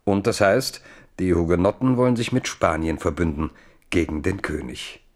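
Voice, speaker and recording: A solemn chronicler in a radio drama. His voice is deep, and he narrates history deliberately, with a dramatic weight to the phrases. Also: animated, a little mellow. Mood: grave, narrating. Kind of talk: scripted radio play narration.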